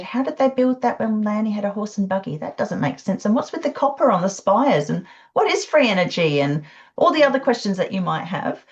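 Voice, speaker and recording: Effusive woman on a dull call. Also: medium pitch. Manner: chatty and effusive, many fillers. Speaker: host of a live online session. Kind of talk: casual chat. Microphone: video call.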